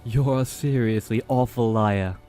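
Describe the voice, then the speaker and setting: A man's voice: mid-range voice, unhurried, mellow, soft background music, natural voice. A man playing a loyal young dragonrider lieutenant in a fantasy audio drama, with a dry, familiar way of talking. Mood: wry. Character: loyal young male dragonrider lieutenant, dryly teasing friend.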